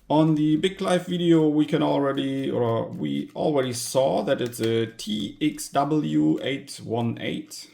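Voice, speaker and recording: A man with a mid-pitched, creaky voice presents gadgets as a hobbyist host. He speaks slowly and informally. His delivery is halting, with frequent pauses partway through sentences. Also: mellow. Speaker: tech hobbyist video host. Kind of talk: casual hands-on gadget teardown narration.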